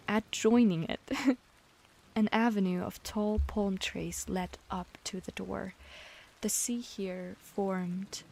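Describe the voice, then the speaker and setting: A woman streamer reads a bedtime tale slowly and unhurriedly, her accent leaning toward British English. Her delivery is soft and often drops to a gentle half-whisper. Her voice sits at an ordinary middle pitch. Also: a little hiss or hum. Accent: British-leaning English learned as a second language. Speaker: streamer reading stories aloud. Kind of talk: slow bedtime storybook reading.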